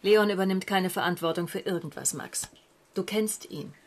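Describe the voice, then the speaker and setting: A woman acting a sharp, ambitious young journalist in a German radio drama, cool, self-assured and challenging. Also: mid-range voice, normal speed, natural voice. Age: young adult.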